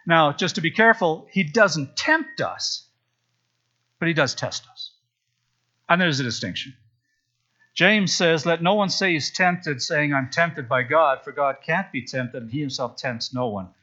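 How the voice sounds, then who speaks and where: A man's voice: on the high side, a little slow, sharp tone, dull audio. A man from Zambia preaching a sermon in a church, chatty and storytelling, with frequent asides. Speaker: pastor. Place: church sanctuary.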